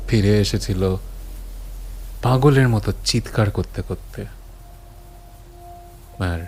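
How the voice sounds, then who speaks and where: A man acting as the grave narrator of a horror audio story, recounting eerie legends with deliberate pauses. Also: fairly low pitch, a little mellow, a little hiss or hum. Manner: slow, deliberate, grave, pausing often.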